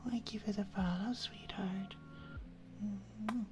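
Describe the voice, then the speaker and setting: A woman hosting an ASMR live stream, chatting casually and affectionately to viewers in a soft, breathy voice that often drops into a whisper. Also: medium pitch, fairly even intonation, slow pace. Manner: affectionate, intimate, uses pet names.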